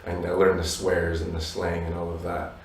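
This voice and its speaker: A man in his thirties with Polish roots, a musician answering interview questions in a relaxed, reflective way with many "you know"s. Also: sits low, moderate pace, a little hiss or hum. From abroad, Polish parents. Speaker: musician guest. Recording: roomy echo from a distance.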